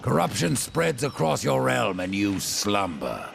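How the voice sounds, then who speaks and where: A grim rider of death in a fantasy video game. The low voice is thick with gravel, terse and sardonically dry, over loud music. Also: male voice, wildly swooping pitch, measured pace, full character voice. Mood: grim, sardonic. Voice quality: very gravelly, deep.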